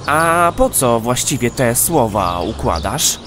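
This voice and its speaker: A man's voice: mid-range voice, sing-song, slow, fairly bright tone, slight background noise. A man playing a friendly, teasing little rat in a children's audio play, with playful, hesitant phrasing. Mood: curious, teasing.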